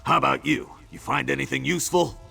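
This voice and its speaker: An actor playing a tough freed male prisoner in a video game, talking in a dry, joking, gruff way. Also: mid-range voice, fairly even intonation, quick pace, sharp tone, faint music behind. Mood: wry.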